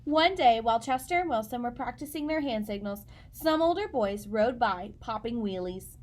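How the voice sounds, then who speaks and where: A woman with a fairly high voice narrates a children's story. She reads expressively, as a storyteller addressing young listeners. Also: animated, moderate pace. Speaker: read-aloud storyteller.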